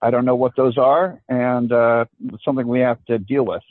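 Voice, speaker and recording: A man's voice: mid-range voice, little pitch movement, normal speed, no room sound. Aggrieved man on a tinny phone line. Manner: aggrieved and insistent. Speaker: caller. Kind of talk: public meeting comment.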